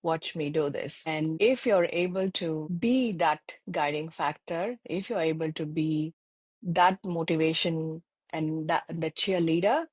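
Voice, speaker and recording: A middle-aged woman from India speaking as an interview guest on a video call, thoughtful and measured, pausing often with fillers. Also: deep voice, husky, no room sound. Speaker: interview guest, tech channel lead.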